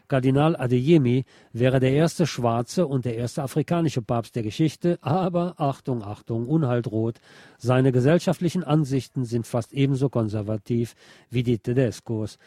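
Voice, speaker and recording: A man's voice: medium pitch, normal speed, mellow, no echo, clean studio sound. A man hosting a radio show, reviewing films in a partly scripted, partly conversational way, with opinionated asides to his listeners. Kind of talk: film review, part scripted narration, part conversational.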